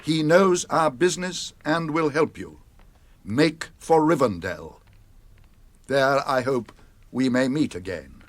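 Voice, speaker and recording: A man playing a grave, kindly wizard in a radio drama, speaking in a measured, declamatory way. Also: mid-range voice, lively intonation. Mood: grave, urgent. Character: grave, kindly wizard reading out his letter. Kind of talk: classic radio drama, verse recitation.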